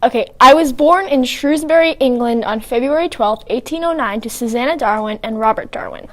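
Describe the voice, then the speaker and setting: A woman's voice: on the high side, a little fast, a bit bright, lightly acted. Darwin, played by a young woman, earnest. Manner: earnest, reads with small restarts. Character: Charles Darwin, young male naturalist. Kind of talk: school-project role-play reading.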